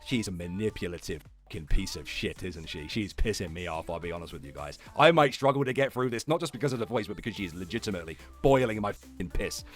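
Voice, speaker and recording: A man with a fairly low, lively voice gives casual livestream commentary. He rants irreverently and swears freely as he addresses his viewers. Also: fast talker, few pauses, faint music behind. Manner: profane, irreverent ranting. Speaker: livestream host.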